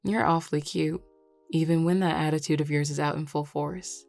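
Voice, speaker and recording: Flirty male Southern werewolf bar patron played by a woman: low voice, playful drawl, dry close sound. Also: fairly even intonation, creaky, a little mellow, dry recording, faint music behind. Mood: sincere, flirty. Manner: drawling, playful, unhurried teasing. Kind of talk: scripted audio roleplay.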